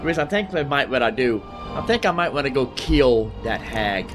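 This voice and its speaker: A man with a medium-pitched voice talks through the game he is playing. He thinks out loud casually, circling back and repeating phrases as he decides what to do. Music plays clearly behind his voice. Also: sing-song, normal speed. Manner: rambling, thinking aloud, repeating himself. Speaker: gamer narrating own playthrough. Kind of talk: casual gameplay commentary.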